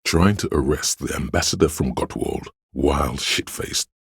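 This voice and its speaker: A gossiping policeman from a video game, voiced by a male narrator, wry and casual. His voice is extremely low and thick with gravel. He talks with a sardonic edge, close and dry. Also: moderate pace, no room sound, exaggerated voice. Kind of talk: dramatic game dialogue.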